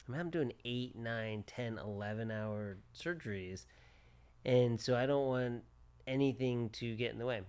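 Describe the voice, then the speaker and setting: A man's voice: mid-range voice, a little slow, darkish tone, clean tone. A man in his forties, a plastic surgeon co-hosting a podcast, chatting casually in short repeated phrases. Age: 40s.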